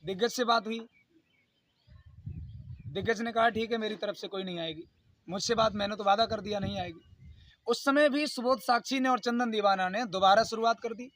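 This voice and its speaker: A man's voice: sits high, moderate pace, pauses often, fairly bright tone, no room sound. A man giving an unscripted video statement, speaking in an aggrieved, insistent, pleading and repetitive way. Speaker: YouTube channel host.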